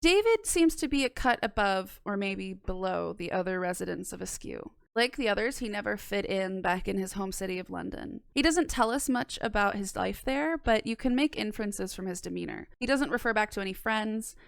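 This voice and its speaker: A woman's voice: mid-range voice, animated, normal speed, creaky, few pauses, clear, resonant tone, no room sound. A young woman narrating a scripted analysis into a close microphone. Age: young adult. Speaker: video essayist. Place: small indoor room. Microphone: close microphone on boom arm.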